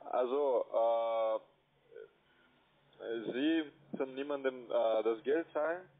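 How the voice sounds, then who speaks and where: A man giving a halting telephone sales pitch as an investment seller, choppy, with non-native phrasing. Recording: thin narrow-band phone line.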